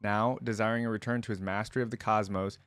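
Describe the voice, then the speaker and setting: Male reaction host, lower register, dry recording, casual asides between read-aloud passages. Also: fairly even intonation, quick pace, fairly bright tone, clean tone, no room sound. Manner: informal, rambling asides. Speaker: host of a game lore reaction channel. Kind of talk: casual reaction chat mixed with reading aloud.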